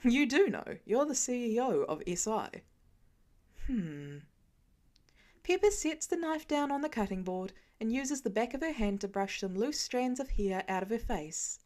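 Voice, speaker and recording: A woman narrating a fan fiction story aloud in a gentle storytelling manner, with light character voices. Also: fairly high pitch, expressive, measured pace. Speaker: podfic reader.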